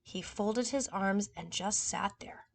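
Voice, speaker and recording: A woman reading a story aloud to young children in an expressive, chatty way. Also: medium pitch, slow pace, creaky.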